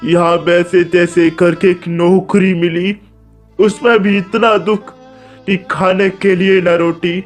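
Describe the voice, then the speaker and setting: A man doing a slow, pleading poor villager. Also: high voice, level tone, soft background music. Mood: despairing. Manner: lamenting, pleading.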